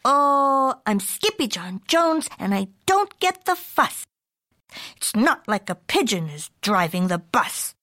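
A woman voicing an excitable, playful young male kitten who puts on a Spanish accent for a storybook. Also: a little high, slow, full character voice. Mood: squealing, excited. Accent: put-on Spanish. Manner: playful rhyming boasts sprinkled with Spanish.

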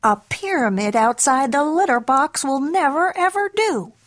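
A woman's voice: sits high, expressive, normal speed, voice pushed up. A woman voicing a fussy, scolding mama cat in a storybook, with dramatic rhyming delivery.

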